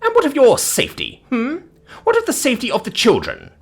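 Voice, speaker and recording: Man putting on a haughty, high-pitched scolding noblewoman. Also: wildly swooping pitch, brisk, sharp tone, husky, soft background music, full character voice. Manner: pompous, admonishing, ornate speech. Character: haughty, indignant, scolding noblewoman, a snobbish busybody.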